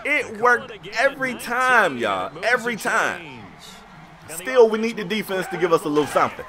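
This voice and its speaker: An American man giving casual, slangy play-by-play commentary on a sports video game in an animated storytelling manner. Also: high-pitched, normal speed, crowd noise.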